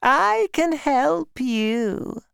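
A woman voicing a sly old female fairy in a storybook, with a croaky, drowsy, drawn-out delivery. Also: sits high, very animated, fairly bright tone, dry recording, clean studio sound, pitched above the performer's own voice, heavily acted. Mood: sleepy, mocking. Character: sleepy, sly old female fairy creature, bitter drinker.

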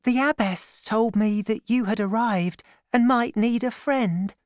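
A woman reading aloud and voicing a gentle, trusting young woman who talks in an eager, breathless way. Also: a little high, unhurried, no echo, studio quality, voice pushed up, lightly acted. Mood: earnest.

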